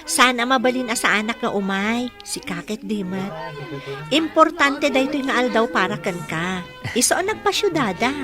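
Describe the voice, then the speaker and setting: An actress playing a gentle, affectionate young mother torn in love in a radio drama. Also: a little high, lively intonation, normal speed, lightly acted. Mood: pleased, congratulatory. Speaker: voice actor in Ilocano radio drama. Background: background music.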